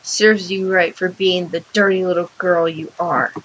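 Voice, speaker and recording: Woman acting a quiet, cruel, possessive mother. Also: lowish voice, steady tone, measured pace, dull audio, a little hiss or hum. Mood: cruel, scornful. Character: cruel, possessive mother scolding and doting on her daughter.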